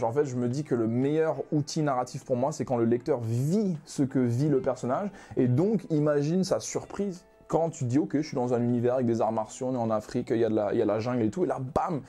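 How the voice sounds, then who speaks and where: A young man who grew up in Ghana, a manga author talking casually as a podcast guest, with lots of hesitations and restarts. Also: mid-range voice. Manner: relaxed, many hesitations and restarts.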